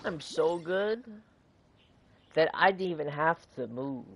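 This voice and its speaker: A man's voice: a little high, expressive, slow pace. A man giving casual, playful commentary as he streams a video game.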